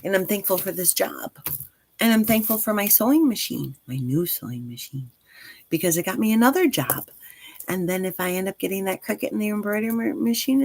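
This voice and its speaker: A woman's voice: mid-range voice, gravelly, darkish tone, breathy edge, no room sound. A woman in her forties chatting casually on a livestream as host, speaking directly to listeners in an encouraging, reassuring way. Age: 40s.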